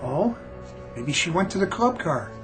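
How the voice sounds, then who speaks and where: A man's voice: high-pitched, big pitch swings, unhurried, over music. An actor playing a folksy, easygoing male train conductor in a radio drama, talking in short, laconic phrases. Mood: polite, puzzled.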